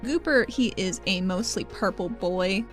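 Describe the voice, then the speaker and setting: A woman's voice: medium pitch, a little slow, faint music behind. A woman playing a tabletop roleplaying game, chatting and describing things casually in an informal, jokey way. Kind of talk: casual playful banter and description.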